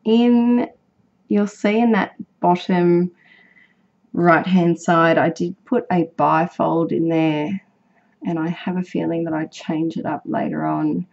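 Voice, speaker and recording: A young woman with a croaky, sick voice, chatting casually as she narrates her craft project, rambling with fillers and self-corrections. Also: lowish voice, fairly even intonation, slow, a little mellow. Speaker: scrapbooking vlogger. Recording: dull lo-fi home recording.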